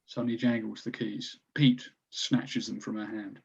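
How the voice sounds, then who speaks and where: A man's voice: on the low side, level tone, measured pace, creaky, husky, muffled recording. A man reading stage directions as scripted narration for a screenplay table read.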